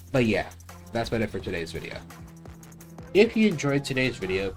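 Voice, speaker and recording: A man hosting a game review video, giving opinionated commentary in a blunt, conversational, sweary way. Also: mid-range voice.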